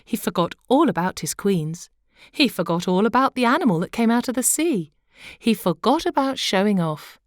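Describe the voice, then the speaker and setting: A woman storyteller reading a children's tale aloud in an expressive narrating style. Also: mid-range voice, moderate pace, no room sound, clean studio sound. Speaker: storyteller, audiobook reader.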